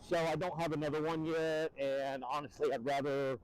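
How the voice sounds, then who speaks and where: A man's voice: level tone, normal speed, clear, resonant tone. A man, rambling through a casual e-bike review with many fillers while riding outdoors. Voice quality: very deep voice. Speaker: e-bike vlogger. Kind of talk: casual ride-along review. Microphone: helmet mic. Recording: wind-muffled helmet mic.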